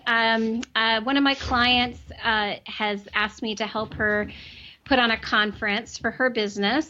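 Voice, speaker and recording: Chatty woman with a bright voice. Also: on the high side, lo-fi sound, a little hiss or hum. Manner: chatty, many ums and restarts. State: losing her voice, clearing throat. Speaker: podcast guest. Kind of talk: casual interview chat.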